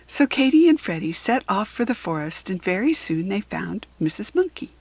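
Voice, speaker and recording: Female storybook reader for children: expressive, deliberate, lively intonation, breathy-husky tone. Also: mid-range voice. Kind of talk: expressive read-aloud narration for children.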